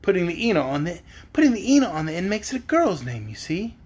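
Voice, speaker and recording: An earnest little country girl out of a children's storybook, played by a narrator. She is polite and curious with a prim streak. Her intonation is very animated, with a soft rasp in the tone. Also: mid-range voice, quick pace. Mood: polite, friendly.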